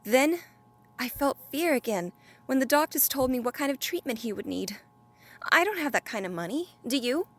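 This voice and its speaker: Actress as a friendly, effusive higher-voiced young woman. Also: expressive, moderate pace, fairly bright tone, exaggerated voice. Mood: heartfelt. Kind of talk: audio drama dialogue.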